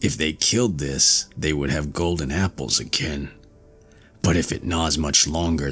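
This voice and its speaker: Gruff storybook devil, voiced by a male narrator: very low, breathy, slow, faint music bed. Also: fairly bright tone, exaggerated voice. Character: gruff male devil, ill-tempered and menacing.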